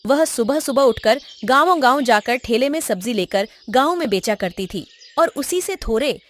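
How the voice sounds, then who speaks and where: A woman's voice: a little high, lively intonation, moderate pace. A woman narrator reading a scripted moral story.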